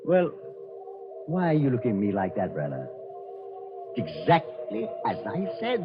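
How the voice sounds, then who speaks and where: An actor playing a coldly menacing, arrogant colonel, in 1940s radio style, formally polite and sardonic. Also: male voice, mid-range voice, huge pitch swings, moderate pace. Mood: cold, threatening. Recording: muffled 1940s radio recording with music.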